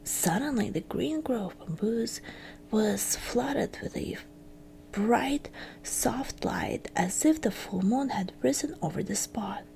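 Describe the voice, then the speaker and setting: A woman reading a fairytale as ASMR storytelling, in a breathy, crackly whisper with hushed phrasing and gentle pauses. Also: a little high, expressive.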